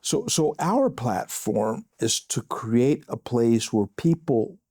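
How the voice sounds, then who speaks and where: A man from Samoa, hosting a podcast conversation in an earnest, self-deprecating way with halting restarts. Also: medium pitch, big pitch swings, dark tone, slightly rough, no room sound, studio quality. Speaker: podcast host. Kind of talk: casual faith podcast conversation.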